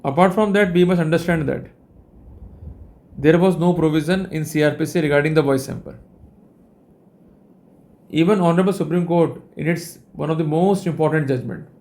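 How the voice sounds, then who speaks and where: A man with an Indian accent giving a formal instructional lecture on his own, in deliberate, measured phrases with short pauses. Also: a little high, darkish tone. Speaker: legal educator presenting a lecture.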